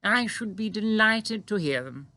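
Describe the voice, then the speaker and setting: A man's voice: high voice, expressive, a little slow, sharp tone, dry recording, pitched above the performer's own voice, exaggerated voice. A man doing a prim, regal queen. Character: dignified, composed queen, a grown woman.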